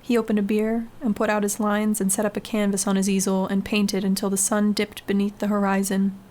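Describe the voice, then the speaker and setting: A woman's voice: mid-range voice, completely flat delivery, brisk, darkish tone. A woman reading a scary short story as a scripted audiobook-style narration. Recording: clearly noisy background ambience.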